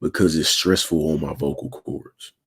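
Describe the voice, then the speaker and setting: Deep raspy young man, casual and slangy. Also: fairly even intonation, normal speed. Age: 20s. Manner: slangy, keeps asking "you feel me". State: sore throat. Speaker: channel host. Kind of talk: casual livestream talk to viewers.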